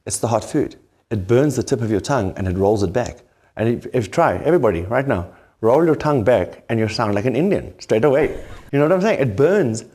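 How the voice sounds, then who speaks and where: A man's voice: mid-range voice, expressive, brisk, a little mellow, breathy edge. A man hosting a cooking show, with a South African accent, asking playfully naive questions and joking with his guest. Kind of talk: comic banter on a cooking show.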